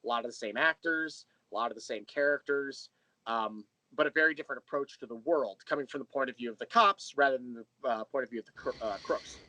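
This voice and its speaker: A man's voice: medium pitch, fast talker, bright, forceful tone, no echo, poor audio. A man speaking as an interview guest, explaining things in detail in a conversational way. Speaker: interview guest, audio drama creator.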